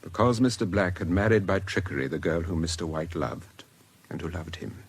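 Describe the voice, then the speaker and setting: An actor playing a quiet, wistful Englishman in a British radio drama, reflective and restrained. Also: sits low, steady tone, normal speed, husky. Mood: wistful, intent. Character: quiet, wistful Englishman, privately knowing listener.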